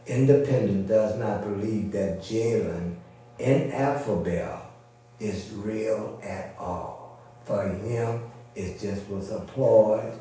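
Male preacher, low and unhurried, explanatory informal sermon, very echoey distant church recording with faint hum. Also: mellow. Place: church service, indoors.

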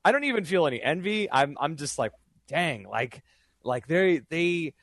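A man's voice: on the high side, expressive, normal speed, a bit bright, dry recording. A young man in casual interview chat about voice acting, rambling with many fillers and restarts. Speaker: voice actor guest.

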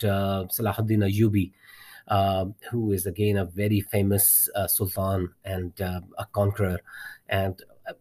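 A man's voice: fairly low pitch, mellow. A middle-aged man whose first language is Urdu, a math teacher telling a personal story as an interview guest, earnest and encouraging, often repeating words as he searches.

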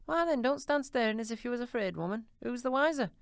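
A man voicing a coarse, scheming old charwoman who talks in a shrill, defiant, mocking way. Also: lively intonation, fast talker, vocal fry, clean tone, no echo, clean studio sound, exaggerated voice. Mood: brazen. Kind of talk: audiobook character reading.

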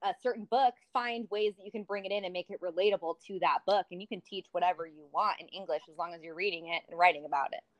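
A woman in her twenties, a high school English teacher, chatting casually and acting out quotes as she tells stories. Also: fast talker, few pauses. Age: 20s. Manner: chatty, animated storytelling with acted-out quotes. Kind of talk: casual conversation.

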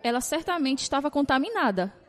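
Woman acting strict female nursing instructor, very high-pitched voice, supervising interns, audio drama. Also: animated, fairly quick, a little hiss or hum. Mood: stern.

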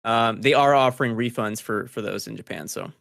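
A man's voice: fairly low pitch, fairly quick, vocal fry, a bit bright, no echo, studio quality. A man hosting a gaming news podcast, chatting through stories in an informal, slangy, conversational way. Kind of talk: casual news chat among co-hosts.

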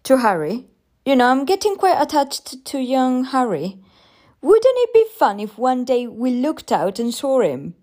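Woman reading as a breezy husband in a storybook, offhand, bit teasing, animated pitch. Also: unhurried. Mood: amused. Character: breezy, sceptical, reassuring British husband.

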